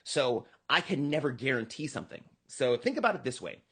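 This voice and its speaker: An American man speaking as an interviewed political candidate, earnestly explaining his campaign pitch in a conversational, emphatic way. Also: very animated, a little fast, a bit bright, breathy edge.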